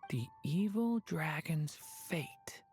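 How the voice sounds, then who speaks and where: A woman voicing an earnest, gentle young dragon boy in an anime game, thoughtful and hesitant. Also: low voice, animated, slow, soft background music, full character voice. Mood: pensive.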